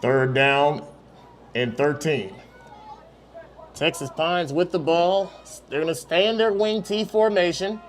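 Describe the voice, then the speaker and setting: Male play-by-play announcer with a high voice, calling youth football outdoors over faint background noise. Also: slow pace. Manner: steady, rising on big plays.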